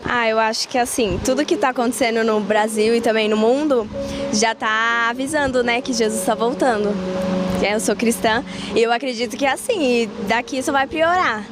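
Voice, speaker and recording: A woman's voice: on the high side, moderate pace, music in the background. A Brazilian woman answering a street interview outdoors, speaking with earnest religious conviction. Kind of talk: vox pop street interview.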